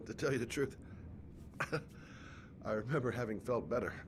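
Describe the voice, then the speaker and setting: An actor playing a wry, battered middle-aged private detective in a video game, understated and groaning. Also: male voice, mid-range voice, moderate pace, noisy background, natural voice. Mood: pained, wry.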